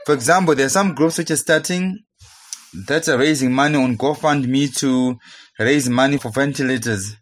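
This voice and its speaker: A man's voice: mid-range voice, moderate pace. Zimbabwean man giving a report. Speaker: citizen correspondent. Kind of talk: prepared first-person news report.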